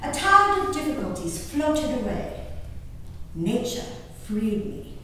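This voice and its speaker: Woman acting a high-voiced genteel lady in an echoey hall. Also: sing-song, sharp tone, exaggerated voice. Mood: awed, soothed. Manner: declamatory, drawn-out emphatic phrasing. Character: genteel, dramatic English gentlewoman diarist, easily appalled, enraptured by wild nature. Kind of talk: theatrical dramatic reading of a diary on stage. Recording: echoey hall from far off, faint noise.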